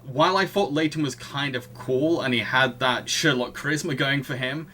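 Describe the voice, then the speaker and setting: Man, podcast co-host, bright forceful voice, casual film chat, halting, amused delivery, slight background noise. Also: mid-range voice. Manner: chuckles through sentences, halting.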